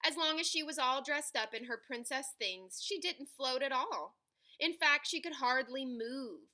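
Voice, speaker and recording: A woman reading a children's picture book aloud in an animated, dramatic way, stretching key words for emphasis. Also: high-pitched, measured pace. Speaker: read-aloud storyteller.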